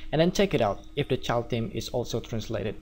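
A man from Indonesia with an Indonesian accent, walking viewers through a software tutorial step by step. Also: medium pitch, brisk, slight background noise. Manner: steady step-by-step explaining. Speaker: theme developer. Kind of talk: screencast tutorial.

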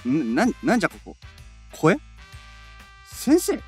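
A woman voicing a hot-headed, bewildered teenage boy in an anime voice drama, with rough boyish speech and blurted retorts. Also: sits low, wildly swooping pitch, unhurried, darkish tone, faint music behind, full character voice. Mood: startled, grumbling.

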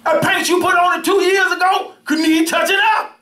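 High-voiced, bright preaching man. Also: fairly even intonation, brisk. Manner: preaching with call-and-response, repeats words, swings between shouting and soft asides. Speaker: minister. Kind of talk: sermon. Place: church sanctuary, indoors, at a pulpit. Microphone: pulpit mic from a far camera. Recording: roomy church sound from far off.

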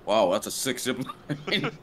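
A man chatting and reacting loudly while gaming with friends, swearing freely. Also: medium pitch, huge pitch swings, slow pace, a little hiss or hum. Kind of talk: casual gaming chat.

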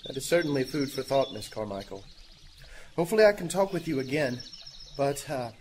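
A man's voice: medium pitch, lively intonation, a little fast, a little mellow, slight background noise, close to an everyday voice. A man playing a polite, curious visitor from a missionary society in an audio drama, courteous and slightly hesitant.

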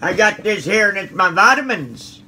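A man's voice: high-pitched, sing-song, normal speed, very noisy. A man playing a cranky, folksy old man who stammers and mutters mild curses at his viewers. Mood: grumbling. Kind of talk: comedic character monologue to viewers.

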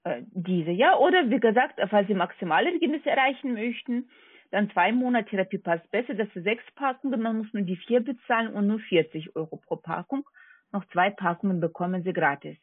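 A non-native speaking woman with a foreign accent, working as a call center agent, giving a halting, repetitive, polite sales pitch over the phone. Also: lively intonation, breathy edge, dry recording. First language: not German. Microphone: telephone. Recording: tinny, narrowband phone line.

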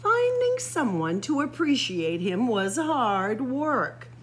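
Slow, high-voiced woman reading a storybook. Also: very animated, a little hiss or hum. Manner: dramatic pauses and drawn-out emphasis for young listeners. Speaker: library story-time reader. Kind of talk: expressive read-aloud of a children's picture book. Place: library room with bookshelves.

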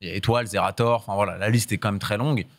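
Quick host steering the panel. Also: male voice, fairly low pitch, fairly even intonation. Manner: steers the segment, lists items. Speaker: show host. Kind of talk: hosting a studio talk show. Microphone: desk stand mic.

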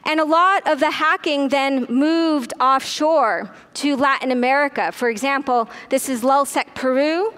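A middle-aged woman giving a conference keynote lecture on a hall stage, telling the story briskly with frequent ums and uhs. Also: high voice, fairly bright tone, smooth. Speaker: keynote speaker.

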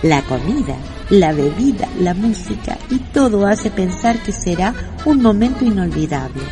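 A woman's voice: mid-range voice, sing-song, normal speed, over music, natural voice. A woman playing a neighbor who tells the story in a radio play. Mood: storytelling. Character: female neighbor recounting events as storyteller.

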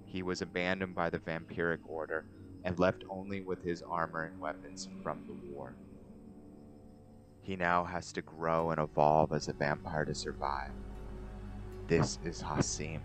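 Very deep-voiced male story narrator over music. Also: little pitch movement, a little slow. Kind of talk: dramatic scripted story narration. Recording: music behind the voice.

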